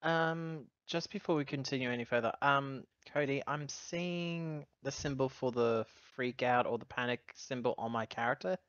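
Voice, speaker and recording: A man's voice: medium pitch, normal speed, few pauses, fairly bright tone, clean tone, no echo, lo-fi sound. A man taking part in a tabletop roleplaying game, chatting casually as a player.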